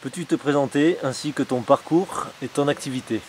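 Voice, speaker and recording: A man's voice: medium pitch, brisk. A man acting as interviewer, putting informal questions to his guest. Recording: background hiss.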